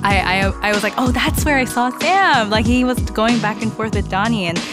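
Chatty woman co-host with loud music behind. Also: a little high. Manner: chatty, lots of "like" and quick laughs. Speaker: podcast co-host. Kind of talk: casual podcast conversation.

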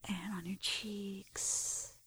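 A woman performing a gentle, intimate ASMR roleplay, softly whispering and murmuring close to the mic as she narrates each step. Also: medium pitch, little pitch movement, extremely slow, rough recording. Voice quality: soft, breathy, often whispering.

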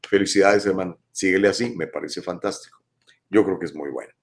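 A man's voice: deep voice, a little fast. A man, hosting a live show and commenting on viewer messages with emphatic rhetorical questions. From Mexico. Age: 50s. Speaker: talk show host.

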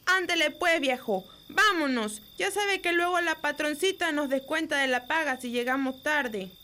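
A woman's voice: sits high, moderate pace, sharp tone. A woman acting a tired, humble Mexican peasant wife in an amateur radio drama, with rustic folksy speech. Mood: weary, resigned.

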